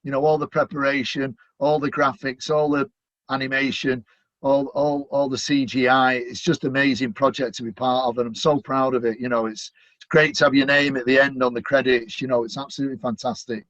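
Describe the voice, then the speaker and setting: A man's voice: medium pitch, level tone, brisk, a bit bright, dry recording. A middle-aged man with a Manchester accent, chatting upbeat as an interview guest, full of "you know" fillers. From Manchester, England. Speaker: guest, stadium announcer. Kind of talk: casual interview chat. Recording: dull, dry lo-fi audio.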